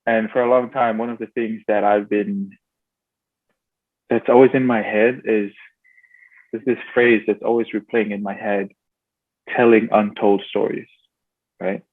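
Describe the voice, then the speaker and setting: A man hosts a relaxed interview podcast, pausing often on fillers and restarting his sentences. His voice sits fairly low. The sound is thin and tinny, like a phone line, with no room echo. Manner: hesitant, many fillers and restarts. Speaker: podcast host. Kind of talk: casual interview.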